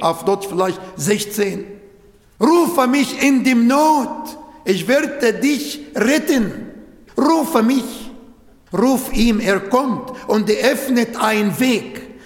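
A man preaching a sermon in German with an Arabic accent, slow and repetitive, with non-native grammar. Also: high voice. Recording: echoey hall with long reverb.

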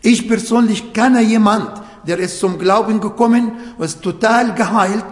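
A man's voice: high-pitched, normal speed. A man whose first language is Arabic, preaching a sermon in German in an earnest, emphatic way with grammatical slips. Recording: echoey hall with long reverb.

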